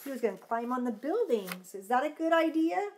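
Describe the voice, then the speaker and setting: A woman's voice: high-pitched, sing-song, fairly quick, a little mellow, slight background noise. A middle-aged woman reading a storybook expressively to children, with simple chatty asides and questions. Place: living room at home.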